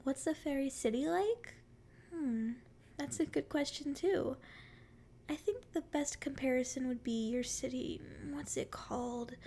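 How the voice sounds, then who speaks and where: Casting: female performer as a sweet, playful forest fairy for ASMR roleplay, very high voice with a creaky edge, teasing and curious. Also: unhurried, dark tone, a little hiss or hum. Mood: chatty, friendly. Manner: sweet, flirty, teasing endearments. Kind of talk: ASMR roleplay, addressing the listener.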